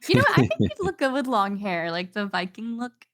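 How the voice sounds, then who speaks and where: Young woman, chatty podcast cohost, laughs a lot, mid pitch. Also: a little fast, dry recording, clean studio sound. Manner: chatty, laughs often, animated storyteller. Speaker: podcast co-host. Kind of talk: casual chat.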